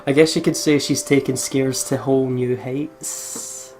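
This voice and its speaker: A man's voice: medium pitch, fairly even intonation, a little slow, a little mellow, slight background noise. A young man co-hosting a let's play, chatting casually and making joking reactions.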